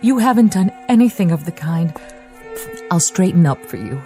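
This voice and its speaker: A woman's voice: lowish voice, lively intonation, normal speed, dark tone, natural voice. A woman acting in a radio drama as a devoted, fretful housekeeper with a fussing, deferential, emotional manner. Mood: tender, fussing. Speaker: actor. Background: music.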